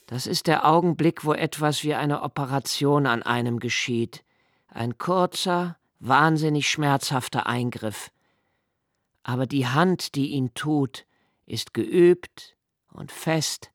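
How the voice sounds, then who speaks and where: An actor playing a reflective, melancholy diarist in a literary radio play, speaking intimately and pensively with halting phrasing. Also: male voice, fairly high pitch, fairly bright tone, no echo, studio quality. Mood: reflective, wry. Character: reflective, melancholy male diarist recalling love and childhood.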